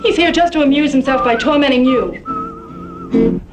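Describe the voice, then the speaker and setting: A weary, frank frontier wife from a radio Western. Her earnest voice swings widely in pitch and makes its points sharply, with faint music behind. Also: huge pitch swings, a little slow, heavily acted. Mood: reproachful. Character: frank, weary frontier wife pleading between rival men. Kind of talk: old-time radio Western drama acting.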